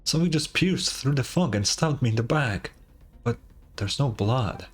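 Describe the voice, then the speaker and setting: A man's voice: mid-range voice, lively intonation, normal speed, slightly rough. A man livestreaming a video game, commenting casually and reading story text aloud. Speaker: game streamer. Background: game music.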